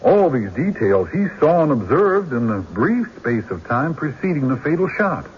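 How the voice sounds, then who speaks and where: An actor playing a shrewd country defense lawyer in a radio drama, with a husky voice and deliberate, theatrical courtroom rhetoric. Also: male voice, on the high side, very animated, a little hiss or hum. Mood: emphatic, incredulous. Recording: dull lo-fi old radio recording.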